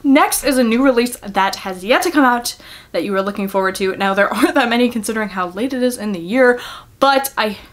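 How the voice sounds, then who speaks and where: A woman's voice: a little high, brisk. A young adult woman chatting casually to viewers as a book vlogger, gushing and giggly, with lots of filler words. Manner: gushing, informal, filler-heavy with frequent "like".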